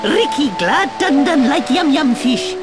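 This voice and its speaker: A little furry video game creature with a high, very lively voice. It is cheerful, boastful and fatherly, and speaks of itself in simple broken sentences. Music plays clearly behind it. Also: a little slow. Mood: earnest, weary. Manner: simple broken grammar, speaks of self in third person. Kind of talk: video game cutscene voice acting.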